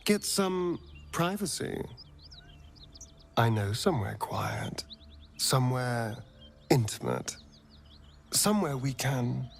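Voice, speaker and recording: An actor playing a flirtatious, self-indulgent vampire rogue in a video game, with an arch, theatrical drawl. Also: male voice, medium pitch, creaky, heavily acted. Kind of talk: video game character acting.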